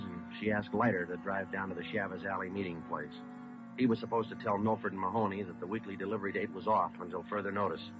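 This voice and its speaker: Man as a deep deadpan police detective. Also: natural voice. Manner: deadpan, clipped, matter-of-fact. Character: calm, businesslike male police detective narrating his case. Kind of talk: vintage radio police drama, scripted narration. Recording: dull old radio recording.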